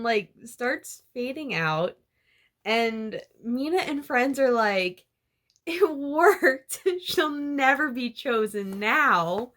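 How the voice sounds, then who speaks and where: A young woman with a slightly high voice chatting through a book summary for her channel. She giggles often and peppers her sentences with "like" and "you know." Her delivery is loose, casual and excited. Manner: chatty and giggly, full of fillers like "like". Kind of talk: casual chapter-by-chapter retelling.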